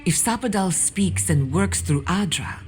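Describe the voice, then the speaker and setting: A low-pitched woman's voice plays an earnest animancer researcher in a fantasy game. She is scholarly and resolute, with music playing underneath. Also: lively intonation, a little slow, a little mellow. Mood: earnest, troubled. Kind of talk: video game cutscene acting.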